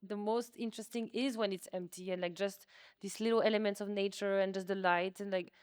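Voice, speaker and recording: A woman's voice: medium pitch, steady tone, a little fast, clear, resonant tone, no room sound, studio quality. A woman whose native language is French, speaking informally and self-deprecatingly in a conversational artist's talk. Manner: informal, self-deprecating, hedging with many likes. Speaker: artist, interviewee. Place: museum exhibition space.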